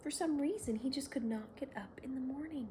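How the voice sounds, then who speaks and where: A woman hosting a children's read-aloud, telling a bedtime story in a gentle, expressive way. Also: high voice, brisk, a little hiss or hum. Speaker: children's story reader and host.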